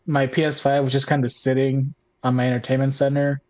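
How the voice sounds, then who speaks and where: Regular guy on a podcast, mid pitch, chatting loosely and thinking out loud. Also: completely flat delivery, brisk. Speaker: podcast host and tabletop player. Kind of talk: casual chat.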